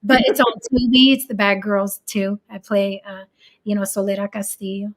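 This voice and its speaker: A woman's voice: mid-range voice, little pitch movement, normal speed. A woman from Puerto Rico hosting a casual chat, talking bluntly and swearing freely. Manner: blunt, profane, emphatic. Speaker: show host.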